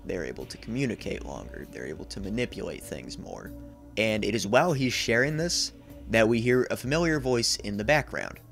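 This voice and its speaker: A young man reads a scripted recap in a low voice with a creaky edge. He sounds like a video essayist, with faint music behind him. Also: expressive, fairly quick, a bit bright. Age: 20s. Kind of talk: scripted narration, horror series recap. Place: small indoor room.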